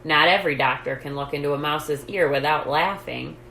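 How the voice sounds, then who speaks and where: A woman hosting story time, reading a children's book aloud in an expressive, deliberate, emphatic way. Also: low voice, vocal fry. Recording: roomy echo, background noise.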